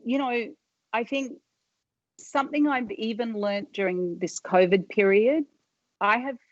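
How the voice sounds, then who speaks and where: A woman's voice: fairly high pitch, unhurried, no room sound, lo-fi sound. A middle-aged woman telling life stories as a podcast guest, rambling and often cutting herself off with fillers. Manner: rambling, self-interrupting, many fillers. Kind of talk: casual interview storytelling.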